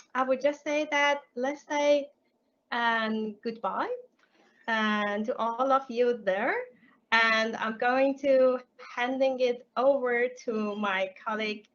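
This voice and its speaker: Higher-voiced woman hosting a video call. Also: a bit bright. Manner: hesitant, many restarts. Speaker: session host. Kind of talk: live online event closing. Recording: muffled video-call audio with faint noise.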